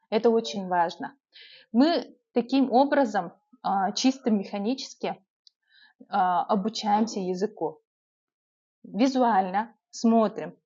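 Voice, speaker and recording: Young woman, urban Yakut accent, chatty advice vlogger; slightly muffled, lo-fi recording. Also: medium pitch. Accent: urban Yakut Russian. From Yakutia, Russia. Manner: chatty, lively, many filler sounds. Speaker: vlogger giving language-learning tips. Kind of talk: casual advice vlog.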